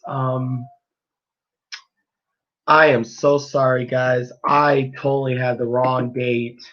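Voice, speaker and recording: A man's voice: medium pitch, lo-fi sound. A man hosting a casual live-stream sports podcast, his voice a little worn after he nearly lost it, talking in an easygoing, rambling way with many ums and restarts. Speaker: podcast host.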